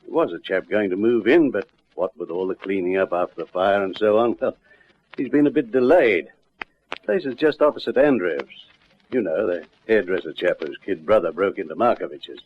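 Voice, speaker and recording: A man's voice: low-pitched, moderate pace, close to an everyday voice. Male actor, flustered Australian caretaker, talkative, helpful, radio drama, dull recording with faint noise. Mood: obliging, chatty. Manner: chatty, hesitant, obliging. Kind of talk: classic radio detective drama acting. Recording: muffled, faintly noisy vintage radio recording.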